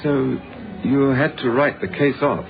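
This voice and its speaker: A man's voice: medium pitch, a little slow, creaky. An actor playing a curious man who prompts a friend's story in a radio drama. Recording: dull radio recording, music behind.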